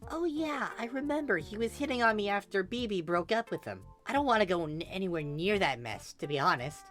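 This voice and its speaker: A smug, soft-spoken teenage boy, played by a woman in a story reading. The voice sits higher, teasing and coaxing, with music faintly behind it. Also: big pitch swings, a little fast. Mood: amused.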